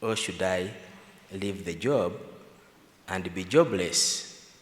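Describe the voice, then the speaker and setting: Low-pitched male panelist, lively and argumentative, long hall reverb. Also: slow. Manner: animated, argumentative, laughs between points. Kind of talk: panel discussion remarks. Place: large conference hall.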